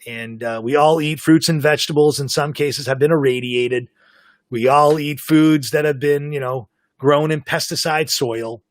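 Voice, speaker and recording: A man hosting a live podcast, talkative and self-described as the loudest mouth of the group. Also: fairly high pitch, fairly even intonation, moderate pace, dry recording. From Canada. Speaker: podcast host. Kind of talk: casual live podcast chat. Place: home in South Florida.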